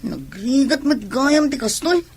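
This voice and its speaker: An irritable young Filipino wife in a radio drama. The voice is fairly high and husky, with a sulky, complaining delivery of a pregnant woman who is fed up. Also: sing-song, unhurried, darkish tone, full character voice. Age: young adult. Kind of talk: Ilocano radio drama acting.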